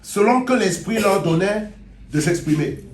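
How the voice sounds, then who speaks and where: A man preaching a sermon in a church and interpreting for another preacher, animated, repeating phrases and drawing answers from the congregation. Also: high-pitched, measured pace. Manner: animated, repeats phrases, mock gibberish for effect. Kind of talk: sermon with call and response. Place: church hall. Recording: echoey hall.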